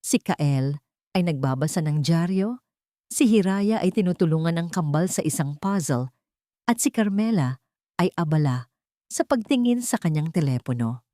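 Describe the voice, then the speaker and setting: A woman reads a dramatic story as narrator. Her voice sits a little low for a woman, and the sound is very dry, with almost no room around it. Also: lively intonation, normal speed, warm tone, no echo.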